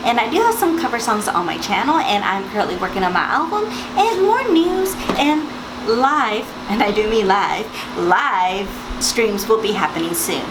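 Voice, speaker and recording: A young woman with a slightly raspy morning voice, chatting casually through a beauty box unboxing and reading product labels aloud. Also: medium pitch, expressive, moderate pace, noisy background. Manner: chatty, reads labels aloud, frequent mm-hmms. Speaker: beauty vlogger.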